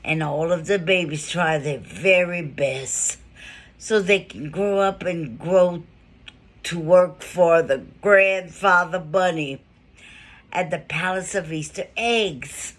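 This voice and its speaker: A woman reading a children's storybook aloud in short phrases with pauses, now and then adding casual asides. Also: on the low side. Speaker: storybook reader.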